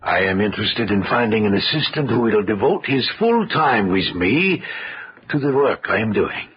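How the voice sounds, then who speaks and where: An actor playing an elderly, exacting scientist in an old-time radio drama, formal and deliberate, with hesitant phrasing. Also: male voice, fairly low pitch, expressive, husky. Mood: frank, intent. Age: older. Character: elderly, exacting scientist and father, courteous but intense. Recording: dull vintage radio recording.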